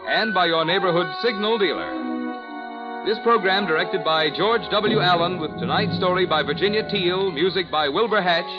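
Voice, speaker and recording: A male announcer reads sponsor copy in a polished, lively voice that swings widely in pitch. Music sits clearly under him. The old broadcast sound is dull. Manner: smooth, polished sponsor reading. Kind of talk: radio announcing.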